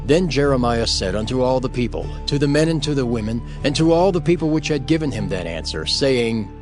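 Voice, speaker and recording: A male narrator reads scripture in a mid-pitched voice. His delivery is formal and measured, with faint music behind it. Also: lively intonation.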